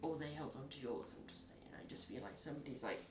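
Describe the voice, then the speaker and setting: A woman reads tarot in a rambling, chatty way with a husky, low voice. She acts out little scenes as she goes. The room around her is echoey. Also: fast talker, background noise. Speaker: tarot reader. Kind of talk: casual tarot reading to camera.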